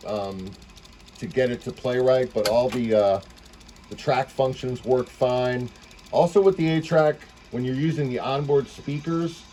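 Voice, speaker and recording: A man giving a casual, unscripted product demonstration, talking informally and haltingly as he thinks aloud. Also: medium pitch, mellow, slight background noise, low-quality recording. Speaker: presenter demonstrating vintage audio equipment.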